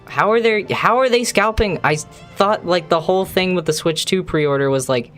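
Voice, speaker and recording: A man's voice: high voice, moderate pace, a bit bright. A man live-streaming a game, talking casually and loosely to his viewers. He rambles from one thought to the next, answers chat, and swears now and then. Faint game music plays underneath. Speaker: gaming streamer. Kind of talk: casual live-stream commentary while playing.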